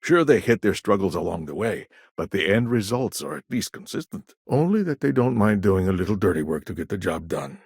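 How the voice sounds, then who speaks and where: A man acting a shrewd, self-assured businessman in a video game, with a wry, smooth-talking manner. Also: low voice, very animated, fairly quick, hardly any pauses, darkish tone, no room sound, clean studio sound, full character voice.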